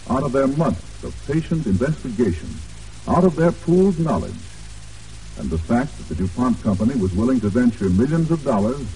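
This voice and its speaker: American male announcer, vintage radio style, formal, widely swinging intonation, mellow tone, distant old broadcast sound. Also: mid-range voice, a little hiss or hum. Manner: formal, polished, deliberate emphasis. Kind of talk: 1940s radio announcing, scripted narration.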